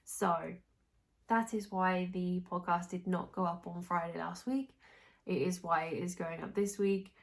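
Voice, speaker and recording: A young woman from England hosting a knitting podcast, chatting casually while she sounds nasal and hoarse from a recent illness, with a rambling, restarting way of talking. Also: lowish voice, fairly even intonation, unhurried. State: hoarse, congested, recovering from illness. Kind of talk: casual chat to camera.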